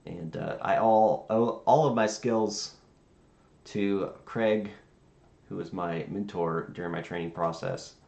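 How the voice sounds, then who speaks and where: Low, gravelly man chatting on a livestream. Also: a little mellow, dull audio. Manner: halting, rambling, thinking aloud. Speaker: streamer playing virtual air traffic controller. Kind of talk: casual livestream chat. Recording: roomy sound with faint background noise.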